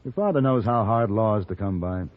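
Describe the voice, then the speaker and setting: A man playing a cool, principled hired gunfighter in an old radio western, speaking with an American accent in a measured, dry way. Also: lowish voice, animated, natural voice. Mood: firm, calm. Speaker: radio drama actor. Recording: dull, hissy vintage radio recording.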